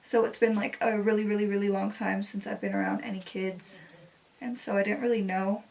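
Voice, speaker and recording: A woman's voice: medium pitch, flat delivery, brisk, creaky, a little mellow, far from the mic. A woman in her twenties, a native Spanish speaker, chatting casually while doing her makeup, with many "like" fillers. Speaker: beauty vlogger.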